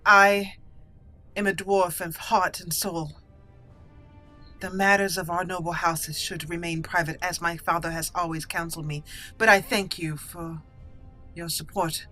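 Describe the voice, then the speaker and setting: A woman's voice: lowish voice, steady tone, unhurried, pauses often, bright, forceful tone, faint music behind, pitched below the performer's own voice. A woman voicing a proud, wounded dwarven noblewoman in exile, speaking formally and earnestly in a game roleplay. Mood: earnest, resolute.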